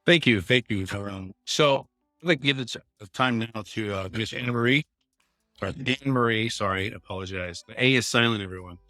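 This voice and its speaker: A man hosting a podcast interview, introducing a guest in a halting, apologetic way. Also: medium pitch, bright, forceful tone, dry recording, faint music behind. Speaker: podcast host.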